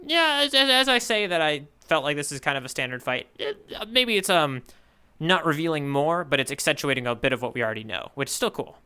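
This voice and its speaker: A man speaks in a bright, clean voice that sits a little high. He sounds like a podcast co-host thinking aloud. His delivery is conversational and analytical, working through each point casually. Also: big pitch swings, brisk, clear, resonant tone. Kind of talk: casual manga discussion chat.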